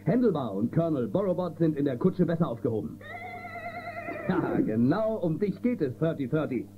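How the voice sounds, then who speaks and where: An actor playing a good-humoured, commanding space-western marshal in a German children's audio drama. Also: male voice, a little high, very animated, normal speed. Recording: narrow phone-like band, music behind.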